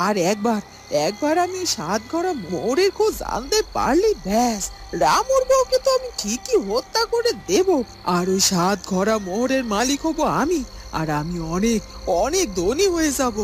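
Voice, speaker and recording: A woman playing a greedy, cruel village schemer in a fairy-tale cartoon, gloating and repeating words for emphasis. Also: fairly high pitch.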